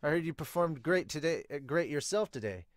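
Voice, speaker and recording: Man putting on a loud, excitable teenage boy. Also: a little high, fairly quick, no echo, clean studio sound, voice pushed up, lightly acted. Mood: cheerful. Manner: excitable, brash, exclaiming. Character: loud, eager, cheerful teenage boy baseball pitcher.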